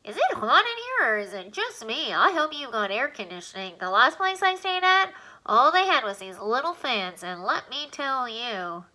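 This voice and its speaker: Woman doing a squeaky tiny alien guy. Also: sing-song, sharp tone, low-quality recording. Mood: wisecracking. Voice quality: high and squeaky. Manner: fast-talking, wisecracking. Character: squeaky tiny male alien, chatty and brash.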